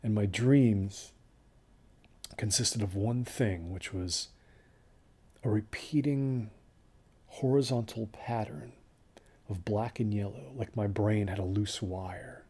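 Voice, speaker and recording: A man's voice: fairly low pitch, takes it slowly, long pauses, dark tone, breathy edge. A man playing an uneasy hiker who recounts a bad desert trip in a conversational, reflective way for an audio drama. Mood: uneasy recollection. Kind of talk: first-person audio drama monologue.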